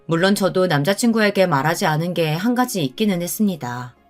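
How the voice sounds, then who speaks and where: Woman, lowish voice, scripted story narration, first person, faint music behind. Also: animated, a little fast.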